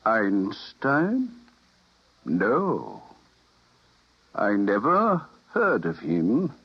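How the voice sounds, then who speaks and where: An actor playing a calmly menacing male official in a 1950s radio drama, with a husky voice. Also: lowish voice, drawn-out words, heavily acted. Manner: smooth, condescending. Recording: dull vintage radio broadcast.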